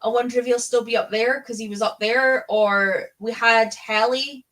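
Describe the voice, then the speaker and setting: A woman's voice: on the high side, steady tone, normal speed, fairly bright tone. A woman with a Scottish accent chatting casually and fannishly about books as host of a live readalong, with the odd swear word. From Scotland. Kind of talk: casual book chat.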